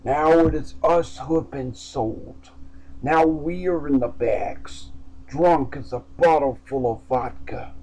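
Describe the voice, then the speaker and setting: Slow, somber man reading a bleak story. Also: a little high, warm tone, low-quality recording. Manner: slow, somber, downbeat delivery. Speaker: storyteller reading his own short story. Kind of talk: dramatic first-person story reading.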